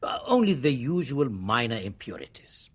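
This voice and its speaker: An imperious minister in a radio play. His delivery is formal and emphatic, with very lively intonation. The voice is a little husky and middling in pitch. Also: normal speed. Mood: insistent, confident. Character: imperious, ambitious male government minister, smoothly insistent. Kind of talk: radio drama acting.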